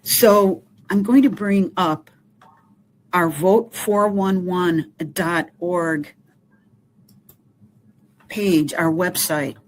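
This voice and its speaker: A woman from a community voting group walks viewers through voter resources. She speaks carefully and steadily, and her voice sits on the lower side. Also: slight background noise, poor audio. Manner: measured, explanatory, careful. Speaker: community voting volunteer guest. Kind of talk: explanatory interview answers.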